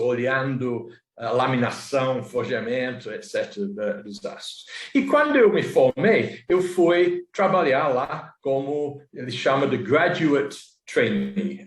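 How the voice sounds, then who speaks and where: A man's voice: medium pitch, roomy sound. A man in his sixties from England, a native English speaker with an English accent, telling his life story as an interview guest with many restarts and fillers.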